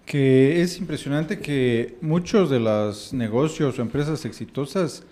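A man's voice: medium pitch, dark tone. Hesitant man asking interview questions. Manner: hesitant, halting phrasing with fillers. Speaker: podcast co-host.

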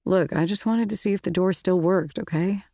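A woman voicing a calm, firm teenage boy who leads his friends, in a storybook reading. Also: fairly low pitch, moderate pace, no echo, studio quality, lightly acted. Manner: firm, steady.

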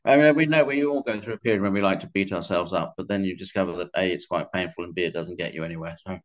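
An older man with a lowish voice talks as a guest in a book interview. His delivery is conversational and tentative, doubling back to correct himself. The audio sounds somewhat dull and band-limited. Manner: tentative, self-correcting, halting. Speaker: podcast guest.

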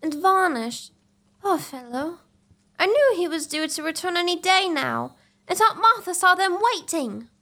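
A woman plays an excitable young lady in a gothic comedy audio drama. Her voice is high and theatrical, full of spooky wonder. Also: measured pace, exaggerated voice. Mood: anxious curiosity.

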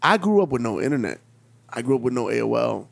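A man's voice: medium pitch, sing-song, quick pace, vocal fry. A man in his late thirties talking bluntly in a casual podcast chat, swearing freely and hammering his points home. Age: 30s. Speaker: podcast co-host.

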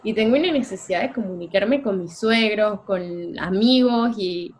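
A woman's voice: mid-range voice, moderate pace. A woman from Venezuela, interviewing a guest in a chatty, self-deprecating way. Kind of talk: conversational interview.

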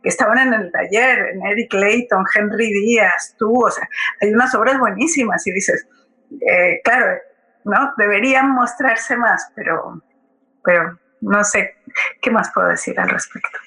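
A woman speaks as a guest in a conversational interview. Her voice is bright and forward, at a middling pitch. She thinks aloud, hesitating and often tagging her points with a check for agreement. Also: a little slow, low-quality recording. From Colombia. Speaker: guest playwright.

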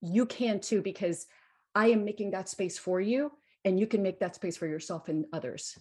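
A woman's voice: mid-range voice, moderate pace, a little mellow, slightly rough. A woman in her forties from Guatemala talking reflectively in an interview, emphatic and repeating phrases to drive points home. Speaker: interview guest. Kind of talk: reflective personal interview talk.

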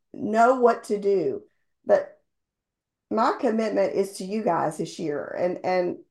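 A middle-aged woman, a bit hoarse from losing her voice, giving sales tips on a team call in an earnest, rambling way with many ums. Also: mid-range voice, darkish tone. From based in Houston, Texas. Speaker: insurance agency owner sharing sales tips. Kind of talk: motivational talk on a team call.

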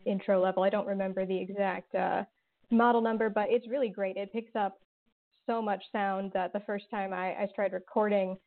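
A woman's voice: mid-range voice, steady tone, moderate pace. A woman podcast creator chatting casually in an interview, peppering her talk with "like" and "um.". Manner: chatty, many "like" and "um" fillers, sarcastic humor. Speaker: podcast creator and writer, guest. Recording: tinny phone-like line.

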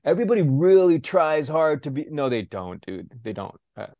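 A man's voice: a little high, big pitch swings, warm tone, no echo. A young man in casual podcast chat, blunt and profane, ranting with lots of fillers. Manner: blunt, profane, fast-shifting rants. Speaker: podcast co-host.

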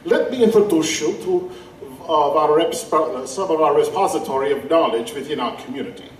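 A brooding, pompous male vampire of learning, played by a man for an audio drama. His voice sits high and moves about a lot, with formal, deliberate phrasing, heard at some distance over faint noise. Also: lively intonation, roomy sound, lightly acted. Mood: reverent, solemn.

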